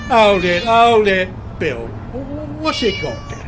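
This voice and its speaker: An actor playing a cocky, flirtatious British celebrity photographer of the 1960s in a radio drama, swaggering and slangy. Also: male voice, high-pitched, huge pitch swings, takes it slowly, background noise, heavily acted. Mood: brisk, bossy. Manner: swaggering, slangy, smooth-talking.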